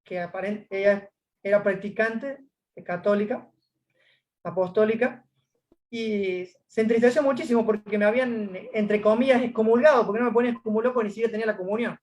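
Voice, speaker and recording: A man's voice: high voice, fairly even intonation, a little fast, a little mellow, dull audio. Hesitant Argentine man, from Córdoba. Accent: Argentine Spanish. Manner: hesitant, many fillers, retells his story. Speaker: interview guest. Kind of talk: casual testimony interview.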